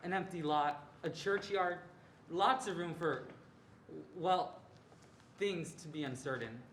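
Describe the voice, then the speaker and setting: A man's voice: a little high, takes it slowly, a bit bright. A young man, a student narrator reciting scripted readers theatre lines. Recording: echoey room, faint background noise.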